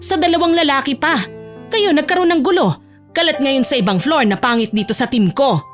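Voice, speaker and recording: Female narrator as harsh middle-aged supervisor, audio drama. High, sarcastic, belittling scold over soft music. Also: a little fast, lightly acted. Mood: indignant, tattling.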